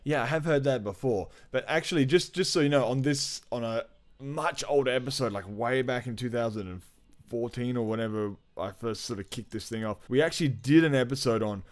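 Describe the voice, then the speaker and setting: A man's voice: mid-range voice, brisk. A man with an Australian accent hosting a podcast in a blokey, informal, sweary chat. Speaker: podcast host.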